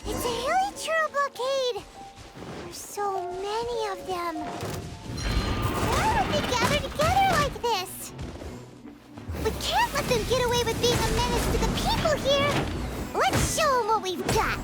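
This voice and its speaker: Very high, squeaky tiny fairy companion. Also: female voice, sing-song, unhurried, exaggerated voice. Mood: alarmed. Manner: childlike, emphatic, refers to self in third person. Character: tiny excitable female fairy companion, food-obsessed and bossy. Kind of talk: video game voice acting. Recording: game music behind the voice.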